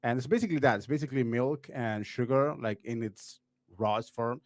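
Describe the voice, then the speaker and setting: A man's voice: medium pitch, brisk, darkish tone, no echo. A man from Uruguay, a podcast guest chatting casually, rambling with plenty of "like" and "uh". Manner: rambling, heavy on "like" and "uh" fillers.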